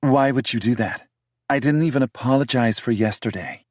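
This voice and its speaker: A man's voice: medium pitch, normal speed, no room sound, studio quality, voice pushed up, close to an everyday voice. A man voicing a prickly, defensive young woman in an audiobook, speaking in a raised, emphatic way. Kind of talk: audiobook character voice.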